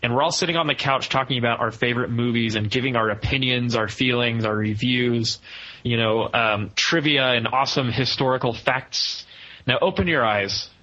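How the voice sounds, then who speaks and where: This is a man with a bright, forward voice at an ordinary male pitch. He hosts a podcast with enthusiasm and a joking streak, moving easily between banter and review talk. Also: moderate pace, lo-fi sound. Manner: jokey, enthusiastic. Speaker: podcast host.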